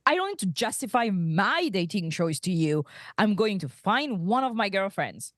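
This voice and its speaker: A woman's voice: mid-range voice, big pitch swings, moderate pace, fairly bright tone, no echo, clean studio sound. A woman reading the part of an eager, boastful, easily defensive young male starship officer in a comedic table read.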